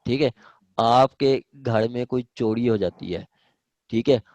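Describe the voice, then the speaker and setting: A man's voice: mid-range voice, a little slow, darkish tone, no room sound, lo-fi sound. A man with a Pakistani accent lecturing informally as a law teacher, repeating points through examples and often checking that listeners follow. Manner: repetitive, explains by examples with frequent check-in tags.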